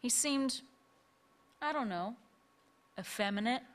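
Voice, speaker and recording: A woman's voice: a little high, lively intonation, slow. An actress playing an uneasy woman in a stage play, confiding her memories hesitantly. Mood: uneasy, recollecting. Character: uneasy woman recalling a strange male admirer. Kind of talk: stage play performed for radio.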